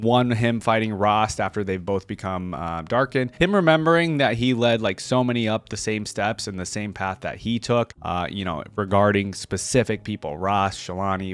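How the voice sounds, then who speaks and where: A man giving casual reaction commentary as a video host, talking informally and straight to his viewers. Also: fairly low pitch. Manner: informal, addresses viewers directly. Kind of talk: casual reaction commentary with scripted read-aloud at the start.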